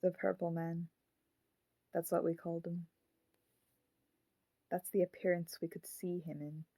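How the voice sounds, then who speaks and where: A woman voicing the grown-up ghost of a murdered child in a puppet, speaking haltingly and solemnly in an audio drama. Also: on the low side, level tone, mellow, no echo. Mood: bitter, grieving.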